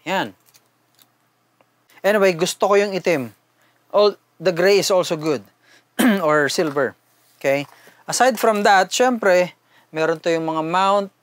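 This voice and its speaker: A man's voice: sits high, animated, takes it slowly, long pauses, no room sound. Dry-sounding Filipino man reviewing products casually. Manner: conversational, addresses viewers informally. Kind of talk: casual unboxing and product review, switching between two languages.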